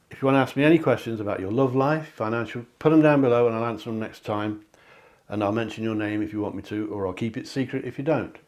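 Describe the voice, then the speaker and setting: A man leads a card reading, chatting and encouraging, correcting himself as he goes. His voice sounds slightly hoarse at times, and he clears his throat. His pitch sits in the middle range for a man. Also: a little mellow. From England. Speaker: psychic card reader. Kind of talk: tarot-style card reading to camera. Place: home near the sea.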